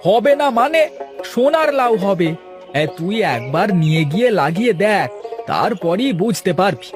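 A man voicing an excitable, vengeful farmer, an elder brother in a cartoon fairytale, with emphatic delivery. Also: high-pitched, normal speed. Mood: falsely kind. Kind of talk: animated moral fairytale dialogue. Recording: music behind the voice.

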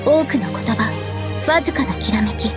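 High, poised young sword-guardian maiden played by a woman. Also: lightly acted. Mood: solemn, heroic. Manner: poised, polite, measured delivery. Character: young female holy-sword guardian spirit, regal, gentle, devoted. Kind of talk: Japanese anime game voice acting. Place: studio. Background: faint background music.

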